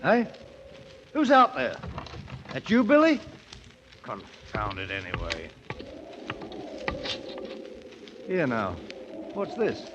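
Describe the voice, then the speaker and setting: A man's voice: medium pitch, wildly swooping pitch, takes it slowly, pauses often, lo-fi sound. An actor playing a brash, boastful British ex-soldier turned king, slangy and commanding, in 1950s radio drama style. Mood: irritable. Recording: noisy 1950s radio broadcast recording.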